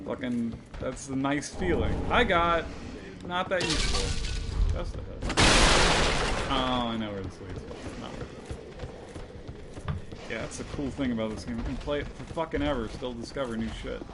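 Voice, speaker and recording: A man's voice: mid-range voice, moderate pace, pauses often. A man commenting casually on a video game as he plays, jokey and freely swearing. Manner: profane, jokey. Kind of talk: casual gameplay chat. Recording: very noisy, constant loud background hum.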